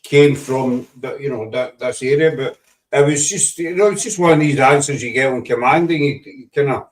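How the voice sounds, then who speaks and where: Scottish man, casual chat, halting and repetitive, distant mic, mid-pitched. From Scotland. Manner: halting, repeats words, dry humor. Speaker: paranormal investigator.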